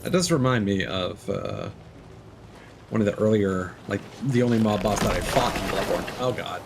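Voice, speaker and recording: A man in the middle of a game stream, talking through it in a rambling, self-correcting way with some casual swearing. His voice sits lowish but bounces animatedly up and down. The recording has a clear hiss of noise and game sounds under it. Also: very animated, normal speed. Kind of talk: live gameplay commentary. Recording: noisy background.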